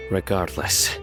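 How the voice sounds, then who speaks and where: An actor voicing a stern, composed high-ranking investigator in a video game, speaking gravely and formally. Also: male voice.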